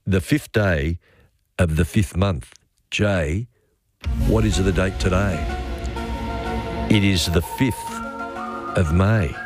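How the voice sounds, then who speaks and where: A man's voice: sits low, slow pace, long pauses, over music. A man hosting a talkback radio show, playful and teasing with callers. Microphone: studio microphone.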